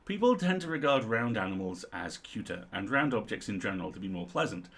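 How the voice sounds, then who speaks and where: Wry man reading aloud, slightly husky. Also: on the low side, big pitch swings, fairly quick, a bit bright. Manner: wry, playful, sweary asides. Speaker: livestream co-host reading aloud. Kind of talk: reading a humorous article aloud with casual asides.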